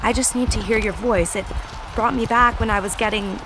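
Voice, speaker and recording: A woman's voice: fairly high pitch, fairly even intonation, a little fast, lightly acted, poor audio. A woman with an American accent playing a determined, blunt, slangy teenage sorceress in an audio fantasy drama. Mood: tender, candid. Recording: studio drama with prominent music bed.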